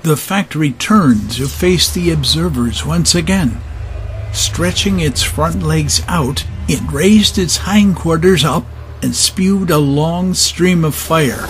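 A man's voice: fairly high pitch, slow pace, rough recording. A man narrating a steampunk adventure audio drama as a scripted, dramatic storyteller. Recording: music under the narration.

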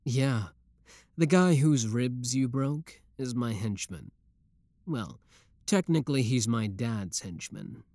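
A man's voice: mid-range voice, lively intonation, takes it slowly, a little mellow, no room sound, studio quality. A man playing an obsessive, lovestruck young schoolboy villain in a roleplay audio drama, talking intimately to the listener. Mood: smug, admiring. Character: shy schoolboy turned smug, obsessive yandere young villain.

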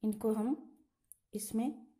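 A woman calmly talking through a home cooking recipe step by step, in a patient, instructive way. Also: on the high side, slow pace. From Himachal Pradesh, India. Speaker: home cooking channel host. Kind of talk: step-by-step cooking demonstration voice-over. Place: home kitchen.

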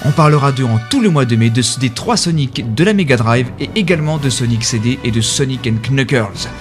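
A man's voice: mid-range voice, expressive, brisk. A man hosting a web radio show, delivering an upbeat, emphatic, chatty scripted promo. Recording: music behind the voice. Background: music.

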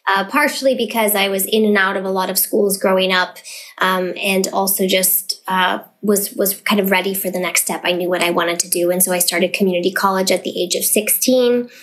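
A woman speaks as a guest on a podcast, at a middle pitch. She talks in a thoughtful, earnest way and often pauses to rephrase. It is a relaxed interview. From United States. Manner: thoughtful, earnest, with fillers and restarts. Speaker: guest, literacy business founder.

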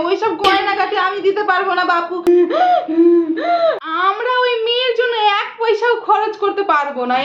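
A woman's voice: very high-pitched, fairly bright tone, lo-fi sound. A woman playing a stingy, scolding mother. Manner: blunt, scolding, dismissive. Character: cold, stingy, scolding middle-aged mother. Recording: echoey room with noise.

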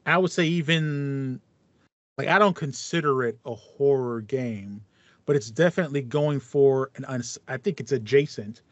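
A man's voice: medium pitch, moderate pace, no echo. A man in his thirties hosting a podcast in casual chat, thinking aloud and repeating phrases as he goes. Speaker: podcast host. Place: small home room with foam panels, indoors. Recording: dull, lo-fi voice call sound.

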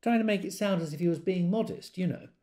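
An actor playing a wry, exasperated office worker, confiding and emphatic, in a conversational audio drama monologue. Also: male voice, sits high, fast, mellow, close to an everyday voice.

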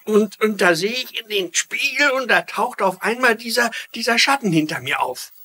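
An actor playing an emotional, self-pitying wounded father in a dubbed TV mystery, with a melodramatic, halting delivery. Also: high voice, animated, pushed and bright, heavily acted. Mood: halting, dramatic. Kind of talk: dubbed TV mystery drama acting.

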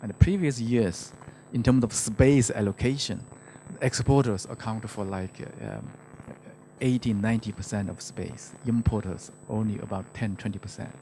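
A middle-aged man speaking as an economist on a panel, hesitant and halting, often repeating words into a handheld microphone. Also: on the low side, mellow. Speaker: macroeconomist, conference panelist. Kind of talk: panel discussion, unscripted explanation. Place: conference stage, indoors. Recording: faintly noisy conference stage microphone.

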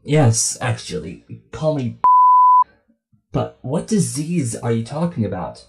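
A man playing a hesitant, easily exasperated research doctor interviewer with a halting, conversational manner, in an audio drama. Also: medium pitch, lively intonation, gravelly, loud music behind the voice, voice pushed up. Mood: startled, hesitant.

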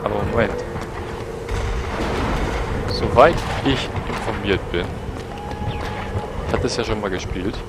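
Man, lowish voice, cold, rambling, self-correcting, headset mic, loud noise. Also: unhurried, long pauses. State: has a cold. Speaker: let's play host. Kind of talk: gameplay commentary.

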